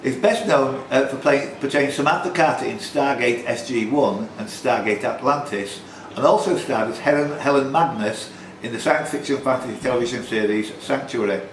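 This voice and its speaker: A man's voice: mid-range voice, moderate pace, few pauses. A man hosting a pub quiz, reading out questions and scores informally, with chatty asides. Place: indoor pub. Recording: roomy pub recording with background noise.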